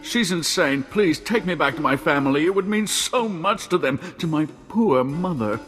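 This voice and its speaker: A high-pitched, plaintive captive nobleman. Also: lively intonation, moderate pace, a bit bright, faint music behind. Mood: frightened, pleading. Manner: pleading, plaintive. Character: distressed, whining captive young nobleman. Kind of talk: video game acting.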